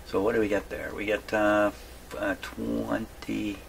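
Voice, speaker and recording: A man giving a casual hands-on workshop demonstration, thinking aloud in a halting mutter and repeating his words. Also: lowish voice, flat delivery. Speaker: hobbyist radio repairer presenting his workshop video. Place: radio repair workshop. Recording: clearly noisy workshop recording.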